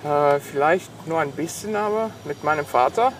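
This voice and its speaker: Guy mid 20s, serbian accent, kinda high voice, talks slow and stops to find words, some traffic noise. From Sombor, Serbia. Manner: hesitant, searching for words. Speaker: interview guest, engineering student. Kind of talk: halting, unscripted interview answers in a second language.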